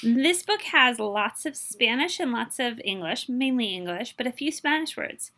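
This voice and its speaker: Bright, animated woman reading to children. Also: a little high, normal speed. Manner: expressive, explains and translates Spanish words for listeners. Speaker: librarian reading aloud. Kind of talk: children's picture book read-aloud with explanations.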